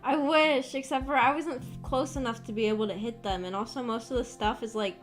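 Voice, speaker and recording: Chatty streamer woman, rough morning voice. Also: on the high side, steady tone, fairly quick, soft background music. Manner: rambling, chatty, lots of fillers. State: rough, hoarse-sounding voice from just waking up. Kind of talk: casual chat stream.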